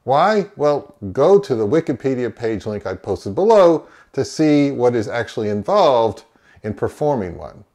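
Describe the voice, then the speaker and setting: An American man in his fifties presents a review in an emphatic, opinionated way. His medium-pitched voice moves in lively swings as he puts rhetorical questions and answers them himself. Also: measured pace. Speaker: audio reviewer and host. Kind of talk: semi-scripted product review to camera. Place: small indoor home office.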